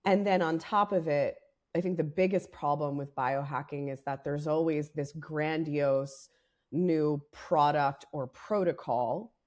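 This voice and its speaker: A woman co-hosting a podcast in casual, candid talk, her voice raspy and worn, growing fired up and swearing freely as she makes her case. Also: low-pitched, steady tone, unhurried, darkish tone. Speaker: podcast co-host.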